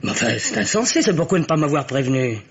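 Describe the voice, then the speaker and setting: An actor playing a concerned, loyal friend in an old French radio mystery, reasoning politely with hesitant interjections. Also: male voice, a little high, sing-song, quick pace, breathy edge, full character voice. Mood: stunned. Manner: formal address, hesitant, interjects often. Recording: dull, noisy vintage radio recording.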